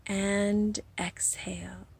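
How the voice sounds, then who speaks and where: Very slow, breathy woman guiding meditation. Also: medium pitch, little pitch movement, a little mellow. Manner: soothing, unhurried, with long pauses and breathy phrase endings. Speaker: hypnosis and meditation guide. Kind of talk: guided meditation, slow hypnosis narration.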